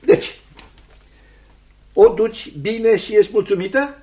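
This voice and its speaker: A man playing a kindly, awkward elderly guardian and lawyer in a radio drama, speaking formally and haltingly with many repetitions. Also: sits high, mellow, a little hiss or hum, close to an everyday voice. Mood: awkwardly genial.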